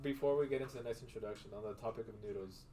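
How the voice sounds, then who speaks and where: A man's voice: medium pitch, very fast, distant mic, a crowd in the background. Young man, slangy storyteller. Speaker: esports team member. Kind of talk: casual podcast chat.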